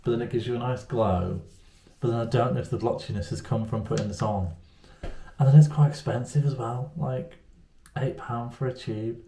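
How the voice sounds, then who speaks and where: Rambling vlogger, mellow voice, echoey room, camera at arm's length. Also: male voice, mid-range voice, moderate pace, creaky. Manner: chatty, rambling, self-mocking. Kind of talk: casual talk to camera. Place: indoors at home, small room. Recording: roomy echo.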